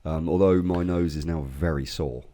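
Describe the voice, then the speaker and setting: Low-voiced english guy getting over a cold, lively up-and-down delivery, warm dark sound. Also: moderate pace. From England. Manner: swears freely, lively storytelling. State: recovering from a cold. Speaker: podcast co-host. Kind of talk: casual chat.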